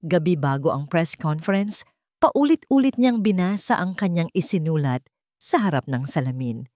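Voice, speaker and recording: A woman's voice: fairly low pitch, animated, moderate pace, warm tone, dry recording, studio quality. A woman reading a scripted, dramatic story as its narrator.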